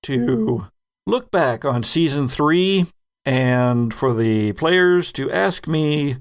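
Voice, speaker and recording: Gravelly-voiced man hosting a casual podcast. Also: medium pitch, lively intonation, very slow. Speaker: podcast host and game master. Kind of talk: casual retrospective chat.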